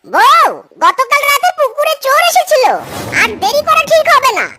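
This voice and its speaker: An actor voicing a hapless, excitable married fox farmer in a Bengali cartoon, with folksy, exclamatory complaining. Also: extremely high pitch, moderate pace, very noisy. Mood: alarmed. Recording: loud music behind the voice.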